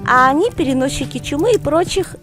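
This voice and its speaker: Lady doing a cheeky little grandson, high lively voice, full of questions, storybook style. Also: fairly quick, over music. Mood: excited. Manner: eager, questioning, colloquial. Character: curious young schoolboy grandson, lively and cheeky.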